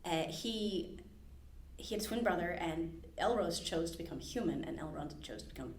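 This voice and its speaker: A woman's voice: on the low side, lively intonation, moderate pace. A woman hosting a library podcast, chatting eagerly about fantasy book lore. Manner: enthusiastic, digs into book lore, fond of asides. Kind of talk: casual chat. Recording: echoey room.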